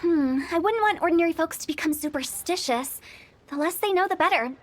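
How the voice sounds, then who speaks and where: A woman's voice: very high voice, a little fast, slight background noise. An actress playing a mischievous, self-assured young woman who runs a funeral parlor, teasing and giggly. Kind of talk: video game voice acting.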